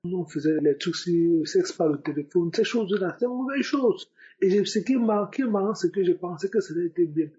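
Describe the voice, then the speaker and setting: A young man with a high, soft-toned voice tells his personal testimony, repeating words for emphasis as he goes. The sound is a bit dull and lo-fi. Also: expressive, a little fast. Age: young adult. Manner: repetitive, emphatic storytelling. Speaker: testimony giver. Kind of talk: spoken religious testimony.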